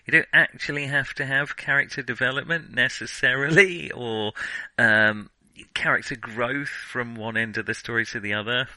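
A man's voice: mid-range voice, pushed and bright, no room sound, low-quality recording. A man co-hosting a casual film discussion, talking in a tentative, discursive way and qualifying his points as he goes. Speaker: podcast co-host.

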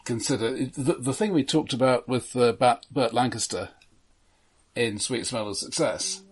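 A man co-hosting an analytical but casual film discussion, speaking in a halting, considered way. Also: medium pitch, breathy edge. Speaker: podcast co-host.

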